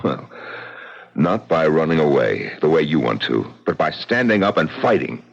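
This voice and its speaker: A blunt, gruff businessman. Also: extremely low pitch, big pitch swings, normal speed, heavily acted. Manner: blunt and forceful, speaks his mind. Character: blunt, tough-minded male businessman widower. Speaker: businessman in a radio mystery. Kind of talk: vintage radio drama acting. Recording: dull old radio broadcast.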